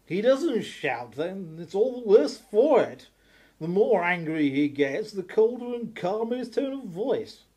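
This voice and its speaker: Guy doing a nervous reluctant posh-gent type for radio play, high and husky. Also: big pitch swings, unhurried, dark tone, full character voice. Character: nervous, reluctant gentleman, anxious about authority. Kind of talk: scripted audio drama.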